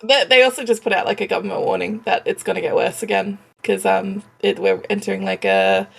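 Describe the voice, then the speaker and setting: A woman's voice: medium pitch, fairly even intonation, brisk, vocal fry, slightly rough. A young woman co-hosting a podcast, chatting casually with dry, understated quips. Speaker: podcast co-host. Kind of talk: casual chat.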